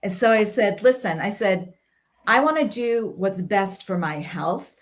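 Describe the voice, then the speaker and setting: A woman's voice: mid-range voice, expressive, unhurried. A middle-aged woman with a strong Boston accent, telling her health story in a casual online talk and acting out the conversations she had. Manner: earnest storytelling, reenacts dialogue, laughs easily.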